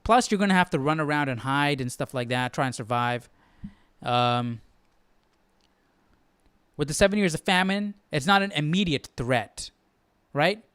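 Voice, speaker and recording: A man's voice: a little high, lively intonation, a little slow, pauses often, a bit bright, smooth, no room sound. A man hosting a live Bible study, commenting casually and thinking aloud, often checking himself with a questioning tag. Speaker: host.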